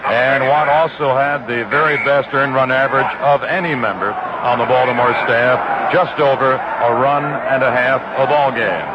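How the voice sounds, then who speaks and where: A man calling baseball on the radio from a ballpark booth, laying out statistics in a steady, detailed way. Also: medium pitch, moderate pace, hardly any pauses. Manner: steady, detailed stats-heavy delivery. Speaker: radio play-by-play announcer. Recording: muffled 1969 radio broadcast with loud hiss.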